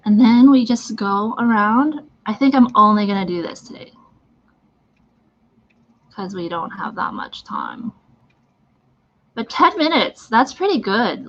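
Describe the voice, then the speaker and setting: Female presenter, casual demo style, unhurried delivery, muffled lo-fi stream sound. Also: mid-range voice, lively intonation. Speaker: mime makeup demonstrator. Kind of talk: casual live tutorial. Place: live online stream from a socially distanced setting. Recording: dull, lo-fi livestream audio.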